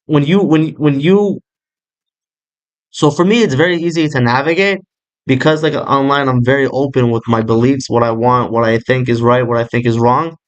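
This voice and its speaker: A man's voice: mid-range voice, fairly even intonation, moderate pace, rough recording. A young man in casual, rambling talk, swearing freely, peppering every line with "like" and "bro" and dropping in Hebrew words. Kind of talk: casual chat.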